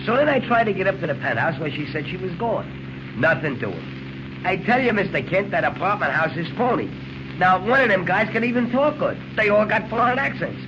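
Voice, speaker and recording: Cabbie: American, chatty, slangy, lively pitch swings, muffled vintage radio serial. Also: male voice, fairly quick. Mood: worried. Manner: slangy, streetwise, excitable. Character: worried, chatty working-class American cab driver. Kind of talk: 1940s radio acting. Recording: muffled, noisy old radio recording.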